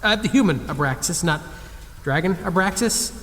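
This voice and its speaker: Nervous, high-voiced everyman. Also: animated, a bit bright, a little hiss or hum. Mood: nervous, confessing. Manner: hesitant, halting then blurting. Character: nervous, awkward, honest everyman, anxious to please. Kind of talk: audio drama acting. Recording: long echoey reverb, music underneath.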